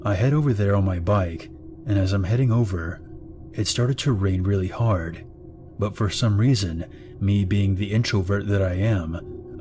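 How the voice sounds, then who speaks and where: A man narrates horror stories in a fairly low voice, keeping a calm, steady pace. Clear background music runs under his narration. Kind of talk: scripted horror story narration.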